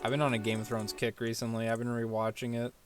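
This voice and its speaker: A man's voice: mid-range voice, flat delivery, fast. A young man giving casual, jokey commentary on a game playthrough, often mimicking lines. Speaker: gaming streamer. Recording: loud music behind the voice.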